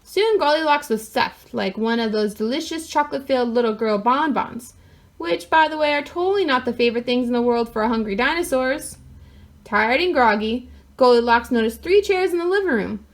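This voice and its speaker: A woman with a fairly high voice reads a picture book aloud to children. Her delivery is expressive, and she adds wry asides in a mock-innocent way. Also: brisk. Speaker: storyteller.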